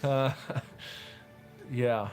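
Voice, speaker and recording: A man hosts a relaxed building stream, reading comments aloud and spinning stories between builds. His voice sits at an ordinary middle pitch. Faint music plays behind him. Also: extremely slow. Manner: laid-back, storytelling, reads chat aloud. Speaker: streamer host. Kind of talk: casual chat during a building stream.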